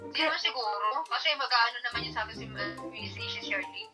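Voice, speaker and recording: A woman from the Philippines answering a prank phone call, asking calm, puzzled questions. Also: a little high, fast talker, music in the background. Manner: calm and questioning, then laughing loudly. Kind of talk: casual phone chat.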